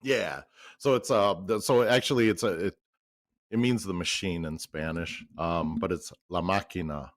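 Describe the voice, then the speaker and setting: A man's voice: low-pitched, normal speed. A man in his fifties chatting easily as a co-commentator on a darts stream. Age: 50s. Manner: chatty, easygoing storyteller. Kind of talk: casual chat on a stream.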